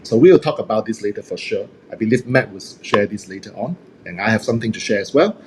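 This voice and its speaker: A man's voice: lowish voice, animated, fast, slight background noise, rough recording. Upbeat man, first language Cantonese. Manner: upbeat, self-deprecating, laughs often. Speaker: host and speaking coach. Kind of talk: casual group chat.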